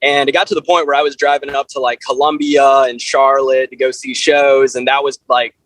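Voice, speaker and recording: A man from South Carolina chatting casually as an interview guest, in a loose, halting way full of filler words. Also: mid-range voice, little pitch movement, a bit bright, no room sound. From South Carolina, USA. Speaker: interview guest, band frontman. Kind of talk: casual chat.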